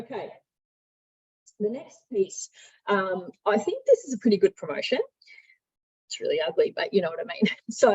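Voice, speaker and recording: A woman with a slightly rough, husky voice leads an informal software demonstration. She explains step by step in a conversational, instructive manner, thinking aloud as she goes. The recording sounds a little muffled and lo-fi. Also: mid-range voice. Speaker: software trainer, marketing and sales director. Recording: dull, muffled lo-fi audio.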